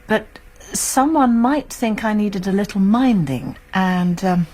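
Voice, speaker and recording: An amateur sleuth in a radio play. She is composed and inquisitive, with a slightly husky voice at a medium pitch. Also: slow pace, a little mellow. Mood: sly, composed. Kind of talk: radio drama acting.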